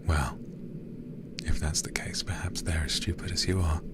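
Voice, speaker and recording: A man playing a teasing, menacing demon lord in an ASMR roleplay, speaking slowly and mockingly, often dropping into whispers. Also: extremely low pitch. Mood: amused menace. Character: sinister, teasing demon lord interrogating a prisoner.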